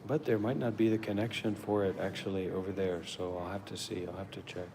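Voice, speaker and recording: A man's voice: deep voice, steady tone, fairly quick. An American man chatting casually and earnestly on a live stream, rambling from thought to thought. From Maryland, USA. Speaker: live-streamer at a meditation center. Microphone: phone. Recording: clearly noisy live phone stream.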